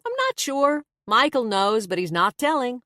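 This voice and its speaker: An easygoing young man from a novel, voiced by a woman narrator. He talks casually and jokingly, a little lifted in pitch, in a dry, close recording. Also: wildly swooping pitch, moderate pace, sharp tone, dry recording, full character voice. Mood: offhand. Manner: casual, conversational.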